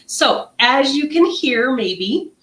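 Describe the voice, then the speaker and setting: A woman's voice: high-pitched, animated, takes it slowly, fairly bright tone. A middle-aged woman who sounds nasal and stuffed up, co-hosting a casual wellness livestream and talking in a chatty, rambling way with many fillers. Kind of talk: casual chat. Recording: echoey room.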